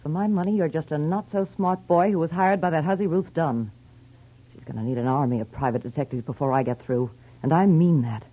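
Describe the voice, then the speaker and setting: An American actress playing a cold, glamorous femme fatale, curt and scornful, in a 1940s radio drama. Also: female voice, sits low, a little fast, narrow, tinny audio. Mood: cold, menacing.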